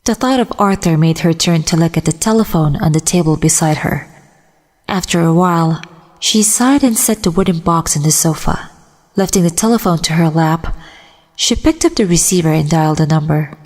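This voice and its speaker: A woman's voice: deep voice, normal speed, darkish tone. A woman voice actor giving a dramatic reading of a short story, with long reverb around her voice. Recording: long reverberant hall echo.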